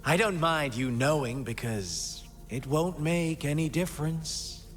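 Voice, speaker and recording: An actor playing a sinister, mocking cult overseer in a video game, speaking in a theatrical, smugly courteous way. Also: male voice, on the high side, measured pace, in a large hall, soft background music, natural voice. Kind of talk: dramatic video game voice acting.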